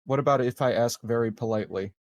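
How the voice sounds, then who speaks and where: Male tabletop player, average pitch, informal bantering talk at the game table. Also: fast talker, no room sound, clean studio sound. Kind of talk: casual game-table chat.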